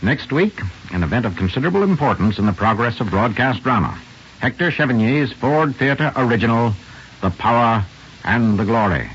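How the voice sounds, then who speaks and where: A man's voice: medium pitch, expressive, normal speed, a bit bright, a little hiss or hum. Muffled 1940s American radio host, a man. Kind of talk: 1940s radio announcing and appeal. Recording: muffled vintage radio with faint music.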